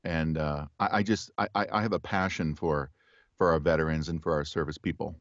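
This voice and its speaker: A middle-aged man with a very low, deep voice chats loosely as an interview guest, often restarting his sentences. His audio comes over a video call from a home studio, dry with little room sound and a slightly muffled top end. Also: dry recording. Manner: relaxed, chuckling, halting restarts. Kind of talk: casual interview chat. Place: home studio with poster behind.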